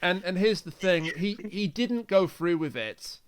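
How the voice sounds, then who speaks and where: A man's voice: sits high, expressive, unhurried, background noise. A man hosting a podcast in casual conversational talk. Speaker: podcast host.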